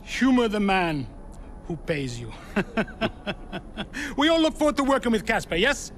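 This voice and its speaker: High-pitched, commanding male team owner. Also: big pitch swings, takes it slowly, a bit bright, a little hiss or hum, exaggerated voice. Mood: assured. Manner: commanding, formal. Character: smooth, self-assured male racing team owner. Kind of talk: formal speech to staff.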